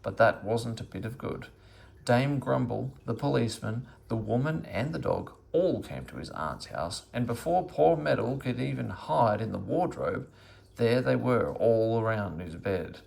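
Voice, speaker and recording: A man reading a children's story aloud as an expressive narrator. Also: mid-range voice, unhurried, creaky. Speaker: storybook reader.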